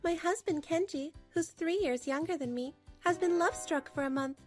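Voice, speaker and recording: Wronged wife, firm and pragmatic, played by a woman with a high voice, expressive manga-dub narration over faint music. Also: normal speed. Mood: hurt, weary. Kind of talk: dramatic first-person narration for a manga dub.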